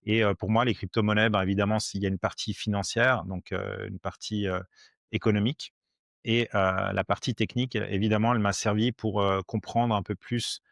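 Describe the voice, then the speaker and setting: A man in his forties from Switzerland, a podcast guest explaining things simply in conversation, haltingly and with repeated words. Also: lowish voice, level tone, vocal fry, a bit bright, dry recording, clean studio sound. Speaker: podcast guest, crypto educator.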